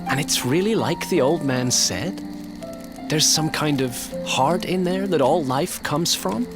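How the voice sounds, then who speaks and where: A man's voice: on the high side, a little slow, loud music behind the voice. A man voicing a cocky, down-to-earth young rogue in a video game, with a casual, wry manner. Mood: curious. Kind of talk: scripted video game voice acting.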